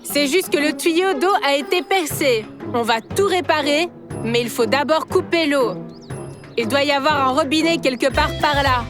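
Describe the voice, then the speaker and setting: Woman doing a helpful young dino boss, high voice, upbeat instructions, cartoon music behind. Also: normal speed, natural voice. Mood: confident. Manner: encouraging, clear instructions. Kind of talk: animated children's cartoon dub.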